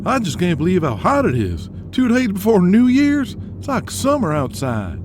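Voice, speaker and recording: Man playing an excitable high-pitched male wrestling promoter. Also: normal speed, background noise. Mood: excited. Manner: emphatic, exclamatory. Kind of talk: audio drama character acting.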